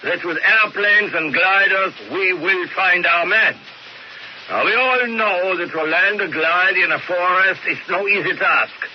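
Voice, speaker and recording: An actor playing a confident German SS commando leader in a radio drama, with a deliberate military delivery. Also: male voice, sits high. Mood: commanding. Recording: muffled radio recording with noise.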